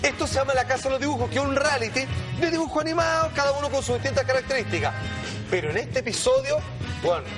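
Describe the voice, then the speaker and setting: Chileno de voz muy aguda, relato teatral. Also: male voice, extremely high pitch, fast talker, over music. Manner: theatrical, slangy, calling for attention. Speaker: talk show presenter. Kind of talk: animated storytelling and commentary on cartoons.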